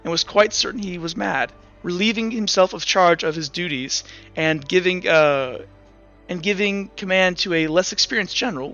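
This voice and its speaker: Male game master, bright, slightly high voice, rambling storyteller; lo-fi audio, faint music. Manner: storytelling with frequent restarts and fillers. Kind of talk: narrating lore in a tabletop game. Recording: dull lo-fi sound with faint music.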